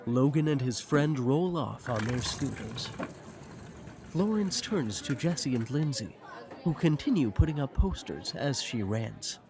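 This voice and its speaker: A man's voice: medium pitch, animated, a little slow, gravelly, crowd noise, background noise. A man narrating a scripted audio drama, describing the scenes.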